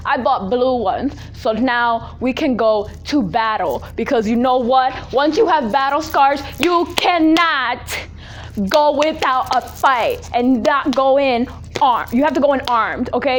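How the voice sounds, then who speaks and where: A woman's voice: high voice, a little hiss or hum. A woman telling comic personal stories in a casual, dramatic, exaggerated way, acting out conversations and dropping in the odd Spanish phrase. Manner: dramatic, exaggerated, acts out family dialogue; drops Spanish phrases into English. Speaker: storyteller, host of a personal story series. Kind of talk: animated comic personal storytelling, casual chat.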